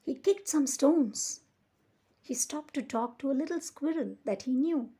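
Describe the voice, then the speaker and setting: A woman reading a children's picture book aloud as a storyteller, with expressive, deliberate emphasis. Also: high-pitched, slight background noise.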